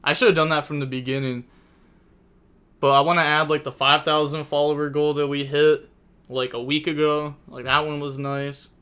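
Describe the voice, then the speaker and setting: A young man streaming live, talking through a card game match in a loose, rambling way full of fillers. His voice is bright and forceful, with a smooth, crisp tone. It sits a little high for a man. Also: level tone, moderate pace. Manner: chatty, rambling, thinks aloud with many fillers. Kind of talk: casual live-stream commentary.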